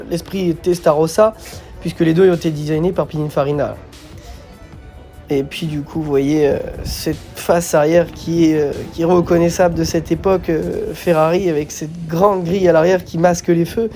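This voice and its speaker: A man with a fairly high but soft, mellow voice hosts a casual car vlog. He has a slight cold and talks in a hesitant, repetitive way, with music faintly behind him. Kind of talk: casual vlog commentary on cars.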